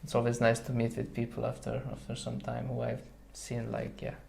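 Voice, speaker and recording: Creaky-voiced man with a Hungarian accent. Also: mid-range voice, completely flat delivery, a little fast, darkish tone, slight background noise. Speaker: podcast guest. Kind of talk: casual conversational interview.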